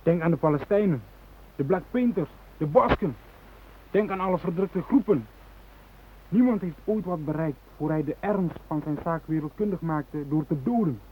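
An actor in a radio play playing a fanatical, impatient militant hostage-taker who speaks in an emphatic, agitated, declamatory way. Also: male voice, fairly high pitch, animated, moderate pace, warm tone, breathy edge. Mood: fervent. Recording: thin, noisy old radio recording.

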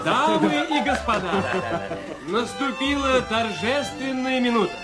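An actor playing a gushing, pompous master of ceremonies, announcing exuberantly in an audio drama. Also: male voice, very high-pitched, lively intonation, a little slow, over a noisy crowd. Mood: pompous, jubilant. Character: flamboyant, gushing male party master of ceremonies. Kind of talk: theatrical announcing in audio play. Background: music.

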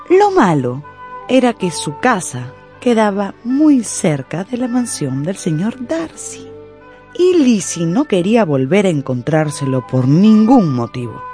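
A woman narrating a radio drama in a scripted, dramatic style, with deliberate, suspenseful phrasing. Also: mid-range voice, mellow.

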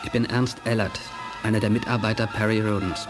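An actor playing an earnest, inquisitive time-travelling investigator in a radio play, articulate and thoughtful. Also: male voice, lowish voice, fairly even intonation, a little fast, natural voice. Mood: probing. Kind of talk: 1980s German radio play acting. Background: faint music.

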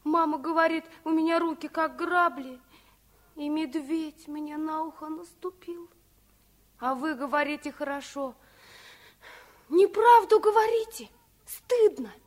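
A woman's voice: sits high, measured pace, pauses often. An actress playing an earnest, frank little girl in a Soviet radio play, with a childlike, naive way of talking. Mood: frank, shy. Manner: childlike, naive, bluntly honest.

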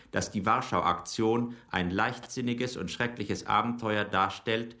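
A cold, dismissive dictator gives his official answer in a fairly low voice. The radio-drama delivery is firm and formal. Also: fairly bright tone.

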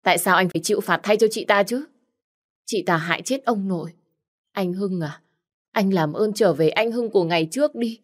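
A woman voicing a jealous young wife who pleads and accuses in a shrill, raised voice. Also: expressive, moderate pace, long pauses, close to an everyday voice. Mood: shrill accusing.

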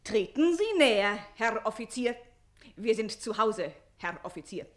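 An actress playing a pert, shrewd young lady's maid in a German radio play, lively, teasing and coaxing. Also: on the high side, a little slow. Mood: playful, brisk.

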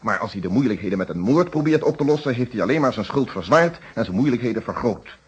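An actor playing a calm, principled middle-aged police inspector in a Dutch radio detective play, speaking in formal, articulate explanations. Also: male voice, mid-range voice, fast, slightly rough. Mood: firm, earnest. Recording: dull, muffled old radio recording.

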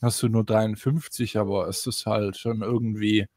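A man's voice: lowish voice, fairly even intonation, moderate pace, a little mellow, no echo, clean studio sound. A man co-hosting a casual podcast discussion. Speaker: podcast co-host.

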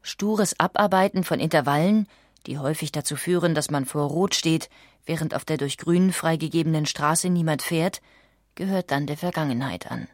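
A woman with a standard German accent reading scripted documentary audiobook narration, factual and measured. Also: low voice, no room sound, clean studio sound.

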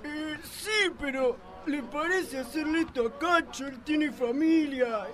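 An actor playing a dim, corrupt Argentine small-town police chief who talks in a slow, dopey way. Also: male voice, extremely high pitch, fairly bright tone, heavily acted. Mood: hesitant.

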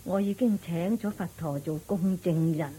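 A woman's voice: on the low side, moderate pace, dark tone, husky, low-quality recording. An actress playing an ailing, insistent old mother in a Cantonese radio drama from Hong Kong, sighing and weary as she speaks. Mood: firm, weary. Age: older. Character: frail, ailing, insistent old mother putting affairs in order. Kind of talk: Buddhist radio drama acting.

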